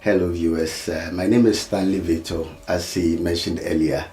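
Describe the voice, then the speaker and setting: A man who is an insurance consultant guest on a talk show, speaking in a measured way with frequent fillers. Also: low-pitched, steady tone, a little mellow, roomy sound. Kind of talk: studio talk show interview.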